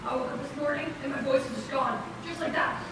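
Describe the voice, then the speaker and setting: A melodramatic radio diva strains in a high, breathy, failing voice. The stage play is very echoey and noisy, heard from afar. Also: female voice, brisk. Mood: distraught. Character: melodramatic female radio starlet who lost her voice. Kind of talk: amateur stage play acting. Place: large indoor theater stage, far camera. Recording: echoey stage from far off, loud noise.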